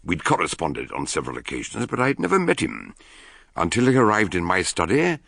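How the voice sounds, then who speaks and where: An older man with a British accent playing an eccentric, shrewd gentleman detective in a radio drama, speaking in deliberate, courtly phrases with a gravelly voice. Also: low voice, huge pitch swings, exaggerated voice. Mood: narrating gravely. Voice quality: gravelly, husky.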